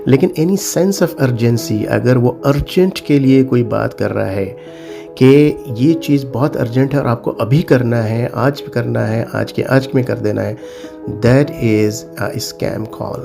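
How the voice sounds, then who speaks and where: A middle-aged man with a Pakistani accent speaks in a gravelly, creaky voice at a medium pitch. He talks straight to viewers in an informal advisory style, stressing and repeating warnings and switching into English phrases. Music plays clearly behind him. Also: slow pace. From South Asia (Pakistan). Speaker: cyber security consultant and advice video host.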